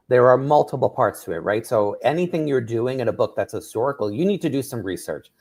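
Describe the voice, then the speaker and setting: New author explaining eagerly. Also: male voice, medium pitch, darkish tone. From New York. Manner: enthusiastic, explanatory, tags "right?". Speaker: author and drag performer, guest. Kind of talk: radio interview.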